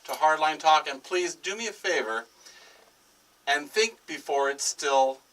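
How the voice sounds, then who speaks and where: A man hosting a talk show, asking quick questions and joking with his guests. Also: fairly high pitch, fairly bright tone. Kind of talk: casual interview chat. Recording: distant mic with faint noise.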